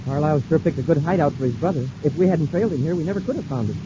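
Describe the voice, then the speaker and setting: An eager, excitable young space cadet, played by a man in an old radio serial. He is a deferential sidekick with quick, animated speech and a mellow tone. The recording is dull. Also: on the high side, close to an everyday voice. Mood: excited, alarmed. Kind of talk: mid-century radio serial acting. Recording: muffled, hissy old radio broadcast.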